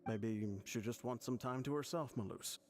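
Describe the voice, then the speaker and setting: A man playing a calm, gentle, courteous attendant in a video game. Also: medium pitch, normal speed, noisy background, natural voice. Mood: gentle concern.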